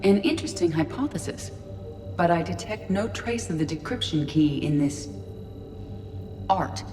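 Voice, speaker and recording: A low, reverberant female voice from an actress plays a video game AI aide. She is composed and analytical, choosing her words formally and precisely. Loud music runs behind her. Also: animated, natural voice. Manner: precise, formal, measured, unemotional. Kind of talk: scripted video game acting.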